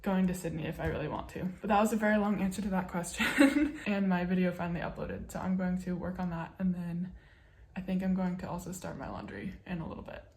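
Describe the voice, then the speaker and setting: A woman's voice: lowish voice, quick pace, a little mellow. Chatty young American woman vlogger, teases herself, recorded in a roomy space. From upstate New York. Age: young adult. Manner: chatty, frequent fillers, self-teasing. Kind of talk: casual vlog chat.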